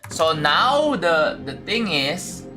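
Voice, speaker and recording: A man's voice: on the high side, big pitch swings, slow, bright, forceful tone, faint music behind. A man with an Indonesian accent, chatting casually to his livestream viewers while building a game level and thinking aloud. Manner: thinks aloud, talks to chat, many fillers and restarts.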